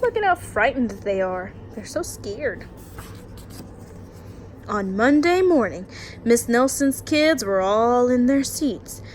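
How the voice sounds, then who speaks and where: A woman teacher doing an expressive picture-book read-aloud, chatting directly to young children in an encouraging, explanatory way. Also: a little high, slow, long pauses, slight background noise. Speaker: teacher reading aloud to her class.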